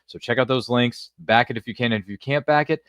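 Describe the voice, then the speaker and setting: A man's voice: medium pitch, quick pace, fairly bright tone, no echo, highs cut off. A man hosting an online panel show in a casual, jokey and effusive way, often repeating himself for emphasis. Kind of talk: casual hosting banter and sign-off.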